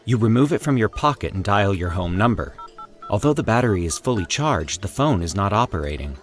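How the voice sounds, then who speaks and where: Low-voiced man narrating with dramatic swings. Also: animated, soft background music. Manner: formal, deliberate, theatrical storytelling delivery. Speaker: host and narrator of a fiction podcast. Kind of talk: scripted horror story narration.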